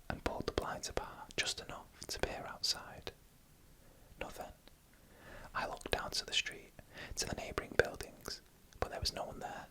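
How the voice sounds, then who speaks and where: A narrator telling stories in a breathy, unvoiced ASMR whisper, in hushed and deliberate short phrases. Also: rough recording. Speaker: narrator and channel host.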